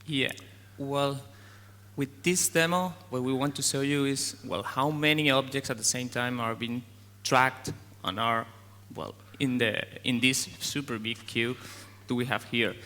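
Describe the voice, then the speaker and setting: A man's voice: mid-range voice, steady tone, sharp tone, in a large hall. Man presenting a demo. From Spain. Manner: non-native phrasing, halting. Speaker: game developer presenting. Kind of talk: conference tech presentation.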